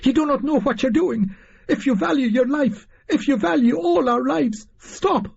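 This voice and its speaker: A man's voice: very high voice, moderate pace, gravelly, muffled recording, pitched above the performer's own voice. A man voicing a defiant red-haired Irish musician with a broad Dublin accent, impassioned and scornful, in an audiobook story. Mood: desperate, pleading.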